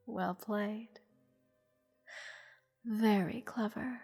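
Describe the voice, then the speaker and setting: A woman voicing a playful, haughty goddess in an intimate fantasy audio roleplay, teasing and condescending toward a captive mortal in measured, theatrical speech. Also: mid-range voice, fairly even intonation, gravelly, darkish tone, slightly rough, full character voice. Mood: impressed, sly. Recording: long reverb with music behind. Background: orchestral music.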